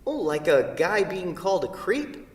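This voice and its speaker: An echoey, distant stage recording carries an actor as an earnest young man in a comedy. He speaks haltingly, with dry wit and a storyteller's passion. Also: high-pitched, sing-song. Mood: wry, earnest. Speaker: actor in a staged play reading. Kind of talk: staged reading of a comedic two-person play. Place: theatre stage, picked up from a distance. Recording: echoey theatre hall, distant microphone.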